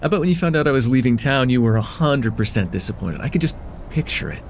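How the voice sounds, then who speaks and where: A man playing an affectionate, teasing young chemistry student in a casual, intimate one-sided roleplay, talking with hesitant, soft-hearted banter. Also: mid-range voice, expressive, fast talker, slight background noise, natural voice. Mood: teasing, welcoming. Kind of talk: slice-of-life ASMR roleplay, intimate one-sided dialogue.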